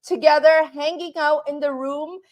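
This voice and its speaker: A woman's voice: high voice, steady tone, normal speed, darkish tone. A woman from Canada who teaches English, giving a live online class and often asking "right?" to check in with viewers.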